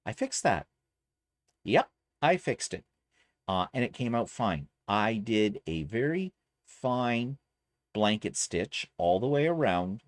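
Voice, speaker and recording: A man's voice: fairly low pitch, no room sound, clean studio sound. A man from Canada chatting casually in a home vlog, rambling with self-deprecating asides from his sewing room. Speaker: quilting vlogger.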